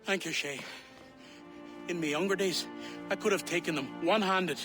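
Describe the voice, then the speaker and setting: A man's voice: sits high, normal speed, lightly acted. An actor playing a grumbling, blunt but good-hearted old Irish townsman in a video game cutscene. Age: older. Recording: music playing behind the voice.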